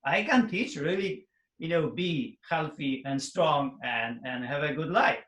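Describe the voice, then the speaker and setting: A man teaching a fitness method, talking casually to an audience in halting, non-native phrasing and laughing often. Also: a little high, fairly bright tone, echoey room. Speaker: fitness teacher hosting a workshop.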